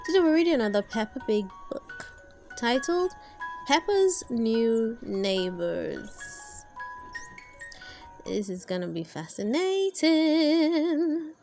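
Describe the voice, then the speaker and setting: A woman's voice: fairly high pitch, expressive, slow, creaky, long pauses, over music. A woman reading a storybook aloud expressively for toddlers, speaking directly to young children as host. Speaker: storybook reader and channel host.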